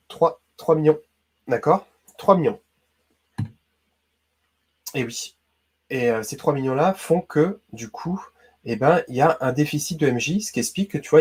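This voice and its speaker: Chatty husky French-speaking man. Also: medium pitch, darkish tone. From Brittany, France. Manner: chatty, addresses viewer as tu, many hein and d'accord. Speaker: YouTube host. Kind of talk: casual explainer quiz.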